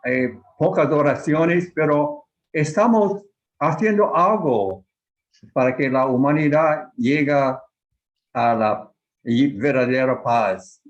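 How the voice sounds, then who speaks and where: A man with a slightly raised voice talks slowly on a video call. He searches for words and restarts often, speaking a language he is still learning in a small study group. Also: fairly high pitch, dull audio. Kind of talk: casual online group discussion.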